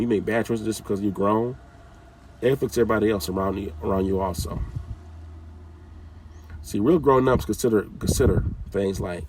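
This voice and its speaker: A man's voice: fairly low pitch, darkish tone, recorded from a distance, a little hiss or hum, poor audio. A man giving an informal, scolding talk with casual slang, often repeating his phrases.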